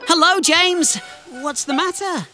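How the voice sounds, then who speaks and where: A woman's voice: very high-pitched, a little slow, bright, forceful tone, soft background music, heavily acted. A woman voicing a cheerful, helpful young boy steam engine in a children's cartoon, earnest and exclamatory. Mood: eager, thoughtful. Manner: earnest, wide-eyed, exclamatory.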